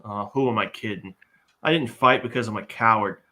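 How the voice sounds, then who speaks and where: Man playing a bright-voiced cowardly warrior sidekick. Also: on the low side, brisk. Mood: regretful, ashamed. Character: blustering, self-doubting male warrior sidekick, cowardly, earnest. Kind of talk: live comedic table read of a TV script.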